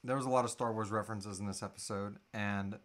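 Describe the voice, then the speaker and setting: A man's voice: fairly low pitch, steady tone, fast, fairly bright tone. A man in his thirties chatting casually and jokily off the cuff as host of a live Q&A, at a computer mic in a small room at home. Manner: jokey, playful. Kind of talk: casual off-the-cuff livestream chat.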